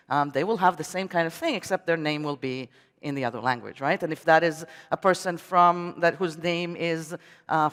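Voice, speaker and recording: A woman whose first language is Hebrew gives a technical conference talk in English from a stage. She speaks in a chatty way, with frequent ums and uhs and wry asides. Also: deep voice. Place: conference hall. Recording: long reverb of a large hall.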